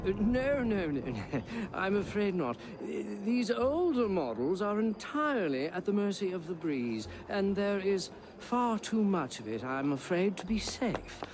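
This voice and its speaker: Flustered gentleman professor, slow, polite, lecturing, animated pitch, game cutscene. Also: noisy background. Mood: fussy, apologetic. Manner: hesitant, fussy lecturing. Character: fussy, flustered male professor, polite and easily alarmed. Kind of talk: video game cutscene acting.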